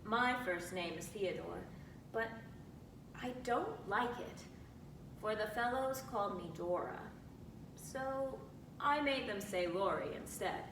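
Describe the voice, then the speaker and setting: A woman's voice: lively intonation, slow, pauses often. A woman voicing a shy, courteous, pleasant teenage boy in a dramatic reading of a classic novel. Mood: courteous, friendly. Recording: roomy, distant mic with rumbling noise.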